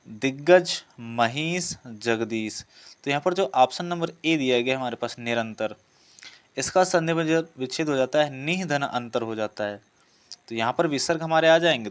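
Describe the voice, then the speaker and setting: A man's voice: fairly high pitch, clear, resonant tone. A man giving an instructional lesson, working through practice questions and repeating each before answering it. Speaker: teacher presenting exam practice questions.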